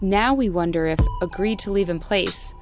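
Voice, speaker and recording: A woman's voice: mid-range voice, moderate pace, music in the background, natural voice. A woman playing a resolute partner in a mysterious quest in an audio drama.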